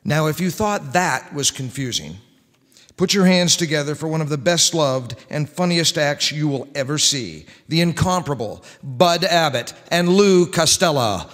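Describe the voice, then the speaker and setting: A man's voice: fairly high pitch, expressive, normal speed, fairly bright tone. A middle-aged man doing scripted old-time radio announcing as master of ceremonies. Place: live stage in a church-like hall. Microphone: stand microphone. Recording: reverberant hall.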